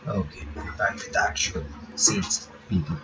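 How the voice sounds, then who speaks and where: A man reading a visual novel aloud in a halting way, stopping for casual asides to his viewers. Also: on the low side, steady tone. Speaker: reaction channel host. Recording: dull lo-fi audio with music behind.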